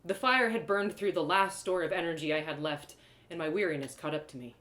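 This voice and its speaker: A woman reading a first-person fantasy story aloud in a dramatic, expressive way. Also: fairly low pitch, fairly quick. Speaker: storyteller, author reading live. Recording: roomy echo.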